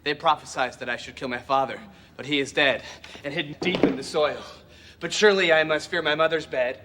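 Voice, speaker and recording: An actor playing a teenage amateur stiffly reciting the tragic role of King Oedipus on stage, halting over the lines. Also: a little high, noisy background, close to an everyday voice. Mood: stiffly declaiming. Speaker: actor in a TV show clip. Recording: echoing hall, clip from TV show.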